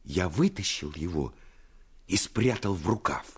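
Low-voiced, expressive male storyteller. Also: measured pace, breathy edge, full character voice. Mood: tense, dramatic. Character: storyteller recounting his boyhood adventure, earnest, intense. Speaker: narrator of a radio play. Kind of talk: dramatic scripted first-person narration. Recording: radio play with music underneath.

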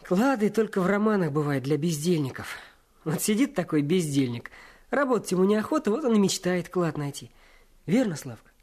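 An actor playing an earnest, determined adolescent boy sleuth in a Russian radio play, with a husky voice. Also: high-pitched, animated, fairly quick, darkish tone, dry recording, studio quality. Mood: firm, reasoning.

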